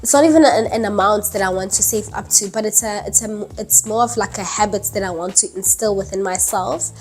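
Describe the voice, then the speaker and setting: A woman's voice: medium pitch, brisk. A woman in her early twenties talking casually to camera in a vlog, chatty, with lots of "um" and "like". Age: early 20s. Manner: chatty, many fillers like "um" and "like", laughs lightly. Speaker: vlogger. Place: indoors at night, dim lighting.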